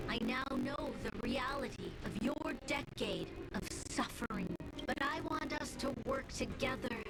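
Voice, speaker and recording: Solemn young female leader, loud music. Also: a bass voice, vocal fry, heavily acted, low-quality recording. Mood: earnest, hopeful. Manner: solemn, earnest, measured oratory. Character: young female supreme guardian, solemn, resolute leader addressing her people. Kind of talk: formal inaugural speech, fantasy game acting. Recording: game audio with loud music underneath. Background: prominent game soundtrack music.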